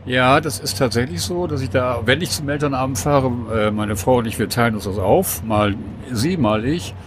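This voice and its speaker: A man's voice: mid-range voice, normal speed, background noise. A man in his sixties, a guest, talking candidly and thoughtfully about late fatherhood in casual conversation on a train. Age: 60s. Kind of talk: casual chat.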